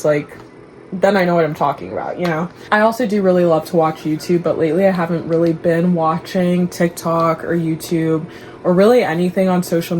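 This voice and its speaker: Young woman, Dallas-raised, Igbo first language, vlogger; low voice, candid chatty delivery, light background noise. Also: a little mellow, low-quality recording. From Dallas, Texas. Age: young adult. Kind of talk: casual vlog chat to camera.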